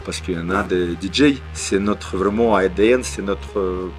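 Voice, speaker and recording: A man's voice: low voice, normal speed, soft background music. A man speaking as an artistic director in an interview, hesitant, with many fillers and restarts. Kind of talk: interview answers.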